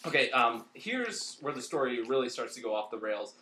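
Male co-host, wry and casual. Bright, lively delivery in an echoey room. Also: mid-range voice, moderate pace. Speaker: podcast co-host. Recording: roomy, echoing room sound.

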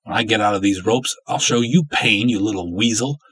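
A man voicing a feisty young American woman held captive in a dramatic story reading, slangy and forceful. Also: lowish voice, brisk, breathy edge, no room sound. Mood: outraged.

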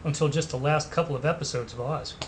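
A man's voice: fairly high pitch, fast talker, slight background noise. A man interviewing a guest over a video call and asking easygoing questions.

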